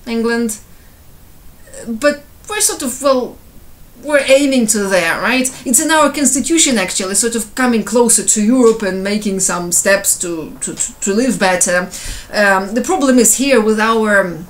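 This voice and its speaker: A woman's voice: on the high side, fairly bright tone. A middle-aged Ukrainian woman, a mother speaking earnestly at home and asking to be believed. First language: Russian. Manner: earnest, persuasive, repeats "okay?" and "believe me". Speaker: mother and housewife. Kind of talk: casual monologue to camera. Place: home, at night.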